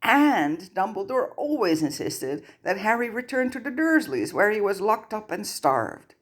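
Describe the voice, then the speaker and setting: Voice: mid-range voice, very animated, unhurried. A narrator reading fan fiction aloud as scripted story narration. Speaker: audiobook narrator.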